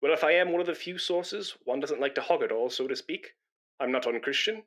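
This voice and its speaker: A man's voice: a little high, brisk, a bit bright, natural voice. Man acting a pompous, blustering radio comedy know-all. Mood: smug, self-important. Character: pompous, indignant, self-important man donating blood.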